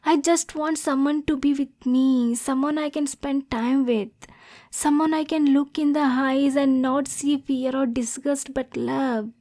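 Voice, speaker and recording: A woman's voice: sits high, fairly even intonation, measured pace, mellow. A woman playing a lonely, anxious, lovesick demon girl in an ASMR roleplay, stammering and pleading with coaxing endearments. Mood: pleading, tender.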